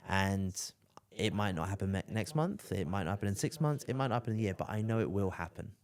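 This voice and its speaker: Young guy from the southeast, podcast host, lots of "like" and "isn't it", bit low voice. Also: lively intonation, quick pace. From England. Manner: rambling, lots of fillers and tag questions. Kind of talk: casual podcast chat.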